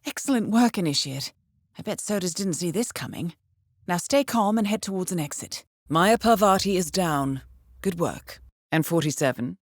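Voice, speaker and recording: An actress playing a composed, articulate intelligence handler in a video game, briefing an assassin in a measured, precise, formal way. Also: female voice, fairly low pitch, animated, dry recording, clean studio sound. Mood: composed, businesslike. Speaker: mission handler.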